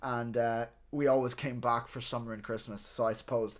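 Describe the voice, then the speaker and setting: A man's voice: medium pitch, flat delivery, moderate pace. Casual Irish man hosting a livestream. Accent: neutral Irish. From Ireland and several countries abroad. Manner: informal, sweary, talking to viewers. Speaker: livestream host. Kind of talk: casual livestream chat reacting to team news.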